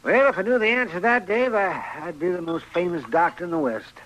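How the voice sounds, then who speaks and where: A man acting a crusty, talkative frontier doctor in an old-time radio western, musing and teasing in a halting way with an American accent. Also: sits high, fairly bright tone. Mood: musing, amused. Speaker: radio drama actor. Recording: noisy vintage radio recording.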